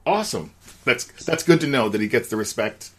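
A man hosting a podcast, asking questions in a relaxed, agreeable way. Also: mid-range voice, a crowd in the background. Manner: relaxed, agreeable, quick short affirmations. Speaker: podcast host. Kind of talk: casual interview chat.

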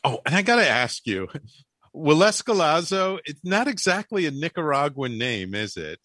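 Lively male radio host, dry mic. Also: on the high side, normal speed, no room sound. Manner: animated, curious, with many restarts. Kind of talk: radio interview.

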